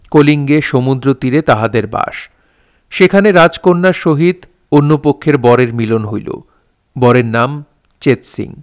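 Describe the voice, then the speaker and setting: A man's voice: medium pitch, clean tone, no echo, clean studio sound. A man narrating an audio drama in a formal, literary storytelling manner.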